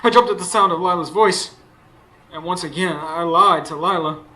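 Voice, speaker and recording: An actor playing an anxious husband who narrates a supernatural horror radio play with intense, dramatic delivery, recorded live on stage. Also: male voice, sits high, normal speed, sharp tone, poor audio. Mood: horrified. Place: stage before a live studio audience.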